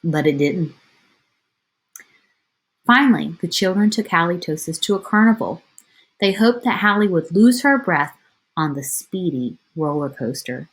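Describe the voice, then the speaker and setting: A woman with a fairly low voice reads a children's picture book aloud. She tells it expressively, as a teacher would, and speaks to her young listeners in simple, chatty asides. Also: expressive, unhurried, long pauses, rough recording. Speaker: elementary school teacher reading aloud.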